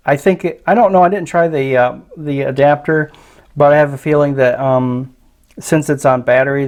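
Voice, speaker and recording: A man's voice: medium pitch, darkish tone. Hesitant man casually reviewing a product. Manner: hesitant, tentative, often trailing off.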